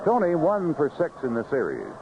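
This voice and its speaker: A man's voice: fairly high pitch, huge pitch swings, fairly quick, gravelly, highs cut off, heavy background noise. A man calling baseball play-by-play on 1970s radio in clipped phrases with vivid descriptive calls, on a band-limited old broadcast. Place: ballpark broadcast booth.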